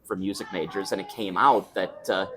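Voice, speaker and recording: A young man with a somewhat low speaking voice chats about his work as an opera singer. He talks in a relaxed, thoughtful way, restarting sentences and laughing now and then. He is being interviewed at a restaurant. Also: normal speed, slight background noise. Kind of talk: casual interview chat.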